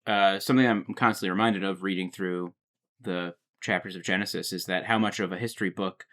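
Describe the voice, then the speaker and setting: A man's voice: low voice, fairly even intonation, a little fast, fairly bright tone. A man on a casual podcast, explaining things with many fillers. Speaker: podcast guest. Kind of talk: casual chat.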